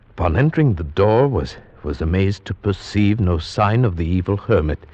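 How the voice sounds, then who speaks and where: An actor playing an anxious gentleman narrator in a formal, theatrical manner of 1940s radio horror. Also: sits low, no echo. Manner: formal, theatrical, deliberate storytelling. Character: anxious gentleman narrator, houseguest reading an old tale aloud. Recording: dull vintage radio recording with music.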